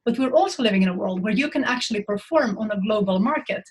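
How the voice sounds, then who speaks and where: Frank, animated woman from Finland on a video call. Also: medium pitch, quick pace. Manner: frank, animated, with many restarts and repeated words. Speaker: film industry guest speaker. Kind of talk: panel talk on a video call. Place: home room, indoors. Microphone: laptop mic on a video call.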